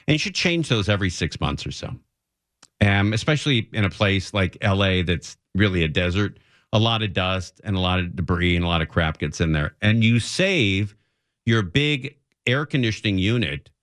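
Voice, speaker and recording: A man's voice: on the low side, lively intonation, normal speed, a bit bright, no echo. Dry, close-sounding male talk radio host. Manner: conversational, opinionated, repeats phrases for emphasis. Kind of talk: off-the-cuff radio commentary. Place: radio studio.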